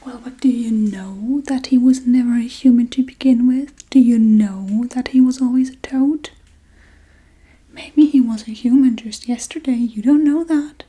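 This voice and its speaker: Woman doing a spooky witch asmr, higher voice, super breathy n whispery, teasing little threats, hushed and coaxing. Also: a little slow. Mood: teasing, menacing. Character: playful, forgetful, menacing female witch casting spells. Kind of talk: soft-spoken ASMR role-play.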